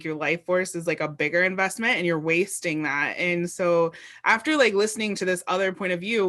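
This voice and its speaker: A woman's voice: lowish voice, a bit bright. A woman interviewer in casual chat, earnest and supportive, rambling through her thoughts.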